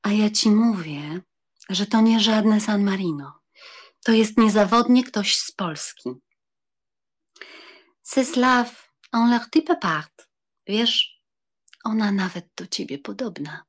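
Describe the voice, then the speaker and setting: A woman's voice: mid-range voice, animated, takes it slowly, long pauses, slightly rough. A woman playing a jealous, imperious countess in a dramatized audiobook, petulant and commanding, slipping into French. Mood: scornful. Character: jealous, imperious young countess scolding her lover.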